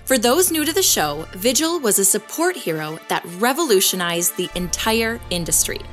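A woman's voice: medium pitch, a bit bright. A woman playing an earnest podcast host, narrating a scripted documentary about a secret superhero. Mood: welcoming, eager.

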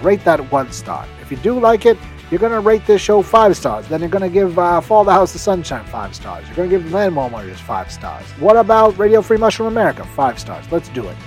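A man with a slightly high voice hosts a hype-filled podcast promo. He is an emphatic, excitable pitchman who hammers on repeated phrases. Light music plays behind him. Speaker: podcast host.

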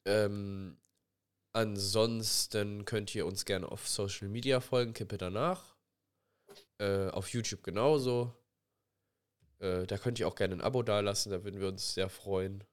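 A man's voice: lowish voice, steady tone, a little slow, smooth. A young man with a cold, co-hosting a casual podcast, rambling with lots of fillers. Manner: rambling, filler-heavy, colloquial. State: has a cold. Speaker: podcast co-host. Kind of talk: casual chat over a voice call. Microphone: recording mic, directly connected.

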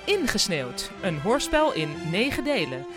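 A woman's voice: mid-range voice, very animated, a little slow. Woman announcing a radio play cast, scripted, bouncy intonation, music pretty present.

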